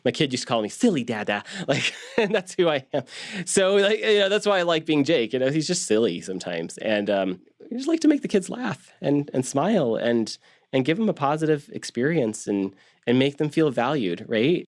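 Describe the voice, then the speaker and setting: A man's voice: fairly high pitch, big pitch swings, moderate pace, dry recording, studio quality. A man chatting casually and informally as an interview guest. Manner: informal, self-described silly. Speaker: cast member and interview guest.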